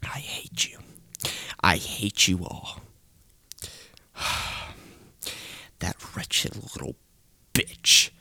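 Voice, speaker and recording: A man's voice: sits low, lively intonation, drawn-out words, gravelly, pauses often, pushed and bright, husky, no room sound. A young man delivering a seething, hateful rant, often dropping into a hissed whisper.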